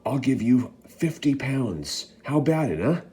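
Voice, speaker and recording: A man voicing a tall, scheming stranger in a storybook, talking in a low, hushed whisper. The voice is mellow and dark, with little force. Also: sing-song, measured pace, slightly rough, heavily acted. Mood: sly.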